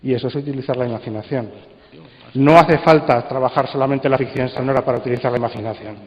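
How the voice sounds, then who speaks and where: A man's voice: medium pitch, fairly even intonation, fast talker. A man with a Spanish accent giving an informal conference talk, conversational and putting rhetorical questions to his listeners. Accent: Spain. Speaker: conference speaker on radio drama. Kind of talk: informal spoken presentation. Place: large conference hall. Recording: muffled, echoey hall sound.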